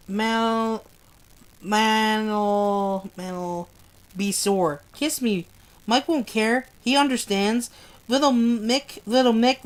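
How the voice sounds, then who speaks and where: A woman voicing a frightened, tender young woman who speaks softly and pleads. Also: a little high. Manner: soft, pleading.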